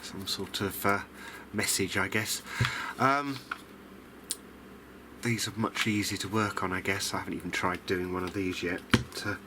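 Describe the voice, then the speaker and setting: Low-voiced, hesitant man with background noise. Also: steady tone, measured pace. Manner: rambling, hesitant, self-deprecating. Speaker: hobbyist radio restorer presenting a video diary. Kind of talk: casual unscripted demonstration.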